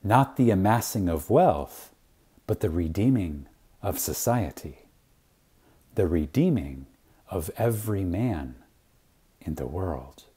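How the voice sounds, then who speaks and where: A man reading an inspirational spiritual lecture reverently and dramatically, with deliberate pauses, weighty emphasis and phrases that trail off softly. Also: low-pitched, expressive, slightly rough.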